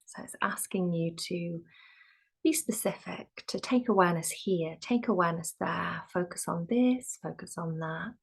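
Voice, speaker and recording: A woman teaching yoga nidra, guiding a relaxation meditation in a gentle, soothing, soft and sometimes breathy voice with long pauses. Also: lowish voice.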